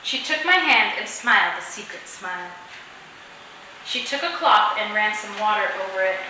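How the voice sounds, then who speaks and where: A woman reading a children's storybook expressively, in an animated way that speaks directly to young listeners. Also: medium pitch, normal speed. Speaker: read-aloud storyteller for children. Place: echoey room at home. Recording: echoey room, distant mic, faint noise.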